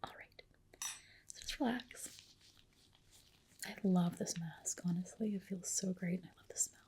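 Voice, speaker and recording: A woman whispering softly and breathily in a soothing, reassuring ASMR roleplay as a dermatologist, close to the microphone. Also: lowish voice. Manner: soothing, reassuring, unhurried explanations.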